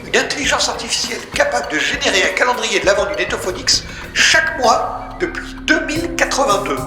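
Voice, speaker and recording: A high, echoing voice of a proud robot museum guide played by a man. He boasts eagerly and nostalgically, like an inventor showing off his life's work in an audio comedy, with music underneath. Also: big pitch swings, fairly quick. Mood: boastful. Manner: boastful, enthusiastic. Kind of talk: audio fiction comedy acting.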